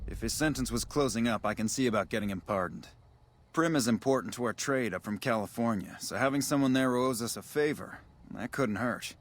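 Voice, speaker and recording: A man's voice: medium pitch, fairly quick, a little hiss or hum, natural voice. A man voicing a weary, matter-of-fact army major stationed at a desert border outpost in a video game. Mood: businesslike, guarded. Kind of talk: video game dialogue acting.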